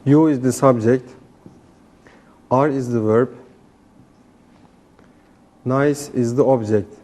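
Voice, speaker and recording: A man's voice: mid-range voice, steady tone, takes it slowly, a little mellow. A man student carefully reading his answers aloud in class.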